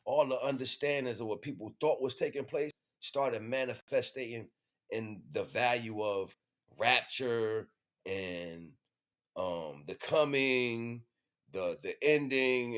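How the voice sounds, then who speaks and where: An American man livestreaming an informal spiritual monologue to viewers, speaking in emphatic, repetitive, slangy street talk. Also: mid-range voice. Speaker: livestream host.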